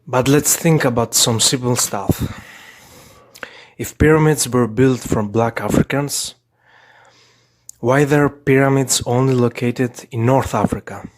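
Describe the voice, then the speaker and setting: A man commenting deliberately, posing questions to make his points. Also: mid-range voice.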